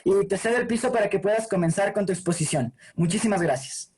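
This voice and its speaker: A man's voice: sits high, fast, darkish tone, husky. A young man from Ecuador with an Ecuadorian accent, hosting an online session and reading a formal script over a video call. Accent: Ecuadorian Spanish. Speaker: host.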